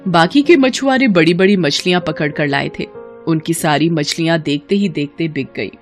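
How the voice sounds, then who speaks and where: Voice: mid-range voice, moderate pace, faint music behind. A narrator reading a scripted cartoon folk story.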